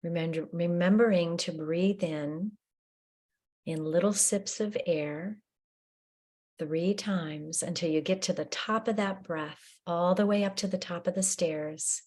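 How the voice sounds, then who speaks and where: A woman guiding a breathing meditation, her voice a little low and her delivery very unhurried. She speaks gently and soothingly, leaving long pauses between cues.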